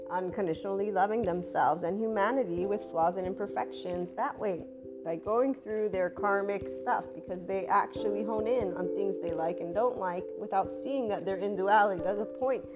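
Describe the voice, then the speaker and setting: A woman hosting a spiritual podcast, talking in a rambling, chatty way with asides; her voice is a little rough and deeper than usual today. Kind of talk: casual monologue.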